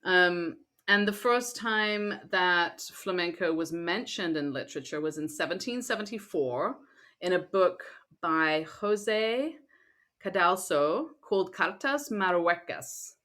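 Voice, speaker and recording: A woman's voice: fairly low pitch, animated, slow pace, a bit bright. A woman co-hosting a podcast, talking in an upbeat, chatty and informal conversational style. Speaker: podcast co-host. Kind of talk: casual conversational podcast chat.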